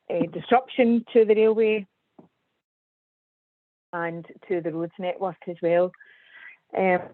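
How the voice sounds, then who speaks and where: A woman with a Scottish accent, presenting a webinar as an engineer, measured and full of ums. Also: lowish voice, no echo. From Scotland. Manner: measured, hesitant with frequent ums. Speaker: guest speaker, civil engineer. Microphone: video call. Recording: tinny dry online call audio.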